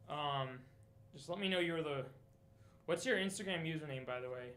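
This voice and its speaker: A man in his late twenties rambles casually to a livestream while he works. His voice is bright and a little high, with loose skater slang. It sounds echoey, as if he is working in a room away from the mic. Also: normal speed. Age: late 20s. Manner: rambling, half talking to himself, skater slang. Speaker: livestreamer doing DIY studio build. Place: echoey indoor room under renovation. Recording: echoey room, distant mic, faint rumbling noise.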